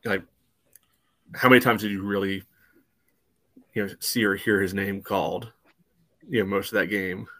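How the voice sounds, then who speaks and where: Man, lowish pitch, fairly flat delivery, casual match discussion, many filler asides. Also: moderate pace, long pauses, no room sound, clean studio sound. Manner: leans heavily on "you know" asides. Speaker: soccer podcast panelist.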